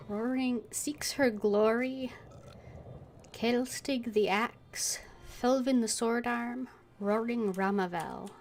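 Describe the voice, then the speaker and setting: Slow-paced woman musing over a game. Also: a little high, a little hiss or hum. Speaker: streamer playing a fantasy role-playing game. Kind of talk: gameplay commentary, murmured notes to self. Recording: loud game music behind voice.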